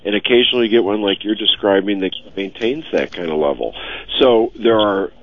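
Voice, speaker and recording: A man, a veterinarian, giving relaxed, reassuring advice to callers on a radio show. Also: lowish voice, a little fast, a little hiss or hum. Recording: phone-like narrow studio sound.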